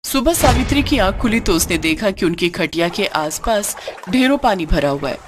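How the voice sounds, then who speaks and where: A woman's voice: mid-range voice, expressive, normal speed, loud music behind the voice. A woman narrator reading a scripted story for a cartoon.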